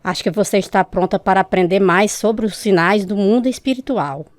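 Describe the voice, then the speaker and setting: A woman's voice: mid-range voice, fairly quick, no room sound, lightly acted. Woman playing a confident Brazilian spiritualist mother, dry sound. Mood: assured, coaxing. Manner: assured, instructive. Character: confident, insistent spiritualist mother of a young girl. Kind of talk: radio drama acting.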